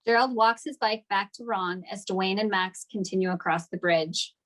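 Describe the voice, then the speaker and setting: A woman serves as narrator at a script table read, reading out the action in scripted narration. Her voice is mid-pitched. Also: moderate pace. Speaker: narrator of stage directions.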